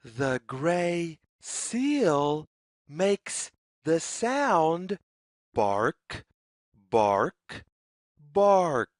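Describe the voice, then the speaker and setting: A woman reads a children's story aloud at a very slow pace. Her slightly low voice swings widely up and down in a singsong, repeating pattern. The sound is dry and close, as in a read-along for small children. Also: big pitch swings, no room sound. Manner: deliberate, singsong, repetitive phrasing with questions to the listener. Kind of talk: read-aloud picture book for young children.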